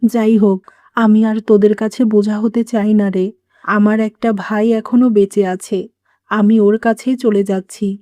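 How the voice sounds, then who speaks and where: A woman's voice: medium pitch, little pitch movement, a little slow, warm tone, dry recording, clean studio sound, lightly acted. A woman playing a remorseful, wounded elderly mother-in-law in a cartoon moral story, speaking in a plaintive, self-blaming way. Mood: sorrowful, resigned.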